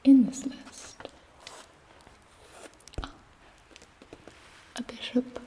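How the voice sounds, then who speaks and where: A woman playing an imperious, scheming, seductive vampire queen in an intimate ASMR roleplay, speaking in a deliberate, haughty whisper. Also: warm tone, natural voice, low-quality recording. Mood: sly, commanding.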